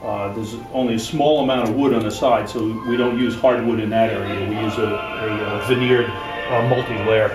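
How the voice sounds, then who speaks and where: A man explaining a product in an interview, halting and matter-of-fact. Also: fairly low pitch, fairly even intonation. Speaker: loudspeaker maker. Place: exhibit room at an audio show. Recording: echoey room, music behind.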